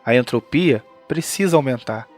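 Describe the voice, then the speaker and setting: A man's voice: mid-range voice, animated, moderate pace, faint music behind, natural voice. A man playing a reassuring father from the future in an audio drama. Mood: matter-of-fact. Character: reassuring futuristic father explaining to his children.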